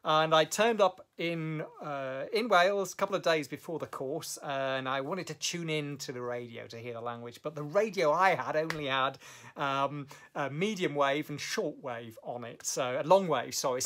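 A middle-aged man recounting his language learning in a thoughtful, measured way, with fillers and self-corrections, filmed in his home study. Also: a little high, fairly bright tone. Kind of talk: reflective personal storytelling to camera. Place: home study with bookshelves, indoors. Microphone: camera at desk distance.

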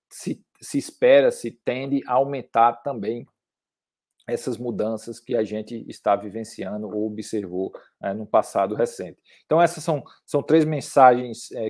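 Brazilian guy, middle aged, soft dark mellow voice, halting lecture over a video call, repeats words a lot. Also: medium pitch. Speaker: climate researcher, guest lecturer. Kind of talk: slide lecture at an online academic workshop.

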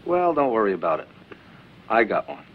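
A man's voice: lowish voice, big pitch swings, normal speed. An actor playing a cocky young male college student who teases in a glib, smug way. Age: young adult. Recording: dull 1960s film soundtrack.

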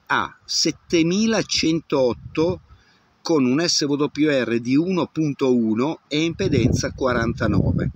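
A man's voice: medium pitch, slight background noise. A man in his fifties, an amateur radio operator, giving a casual hands-on demonstration and muttering step by step as he works outdoors. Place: open grassy field outdoors. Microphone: camera at about arm's length.

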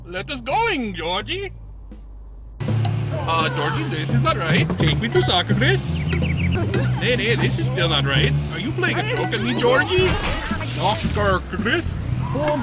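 Very high-pitched passenger. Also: male voice, sing-song, takes it slowly, pauses often, loud music behind the voice, full character voice. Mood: confused, complaining. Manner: broken English, insistent. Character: eager foreign soccer fan in broken English. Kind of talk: comedic live-action game cutscene acting.

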